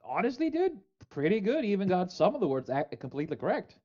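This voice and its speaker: Animated man on a dull call line. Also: sits high, fast. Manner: teasing, animated, stumbles over his words. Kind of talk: casual podcast chat.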